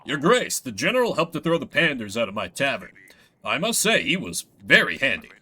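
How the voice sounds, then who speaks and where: A man's voice: mid-range voice, huge pitch swings, brisk, pushed and bright, breathy edge, exaggerated voice. A man playing a humble tavern keeper in a fantasy game, speaking politely and deferentially to his ruler. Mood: resigned. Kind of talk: acted narrative game dialogue.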